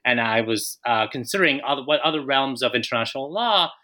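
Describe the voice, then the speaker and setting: A man in his thirties from Colombia, a guest author answering interview questions with frequent pauses and restarts. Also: mid-range voice, pushed and bright. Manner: hesitant, many fillers and restarts.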